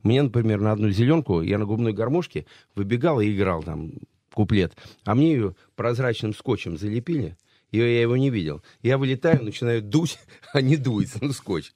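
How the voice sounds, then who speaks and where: Low-voiced middle-aged male host. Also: moderate pace, no room sound, clean studio sound. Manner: relaxed, chatty storyteller. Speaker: radio show host. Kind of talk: casual interview chat.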